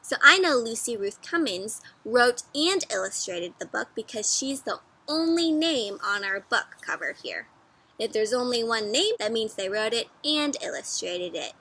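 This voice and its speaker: A woman doing an interactive storybook read-aloud for young children, animated and teacherly, often prompting her listeners with questions. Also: a little high, low-quality recording.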